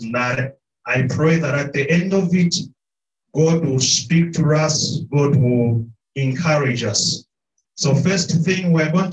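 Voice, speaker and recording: Slow, earnest preacher in echoey hall. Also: male voice, medium pitch, recorded from a distance. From Zambia. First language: Tonga. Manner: slow, earnest, repeats key phrases. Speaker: preacher, theology student. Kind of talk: sermon. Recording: echoey room.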